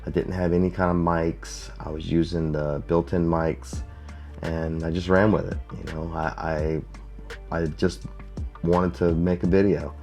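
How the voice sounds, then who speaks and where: Deep-voiced man giving a casual tutorial. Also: level tone, a little slow, darkish tone, soft background music. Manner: plain-spoken, repeats himself for emphasis. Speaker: YouTube creator teaching video basics. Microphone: lav mic, wired then wireless.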